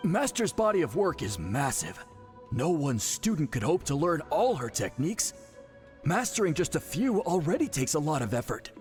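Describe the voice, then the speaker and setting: A loyal young shaman disciple in a video game. His voice is fairly high and full of inflection. He sounds frank, earnest and slightly defensive, over faint music. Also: sing-song, moderate pace, a little mellow. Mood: earnest, explanatory. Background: background music.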